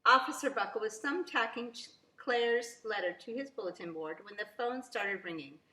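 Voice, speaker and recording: A woman reading a picture book aloud to young children as a teacher, very expressively, with drawn-out emphasis. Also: mid-range voice, sharp tone. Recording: roomy, echoing sound.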